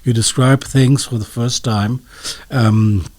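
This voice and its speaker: A man's voice: mid-range voice, fairly even intonation, slow pace, a little mellow. A man speaking as an expert interview guest, explaining in a hesitant, scholarly way and searching for his words.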